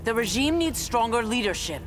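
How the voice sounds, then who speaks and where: An actress playing a proud Amazon warrior goddess in a video game, regal, stern and commanding. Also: female voice, on the high side, big pitch swings, normal speed, over music.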